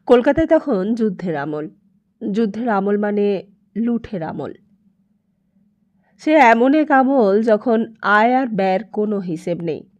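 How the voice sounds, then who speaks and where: A woman narrating a literary story as an audiobook, in an expressive, emphatic, dramatic way. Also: medium pitch, slow, long pauses, dull audio.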